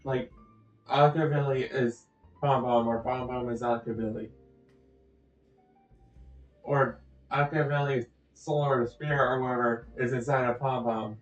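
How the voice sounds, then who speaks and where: A man's voice: medium pitch, steady tone, unhurried, pauses often, distant mic. A man giving casual let's-play commentary, rambling and thinking aloud as he plays. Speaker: game streamer playing through a story quest. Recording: echoey, dull audio with music. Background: game music.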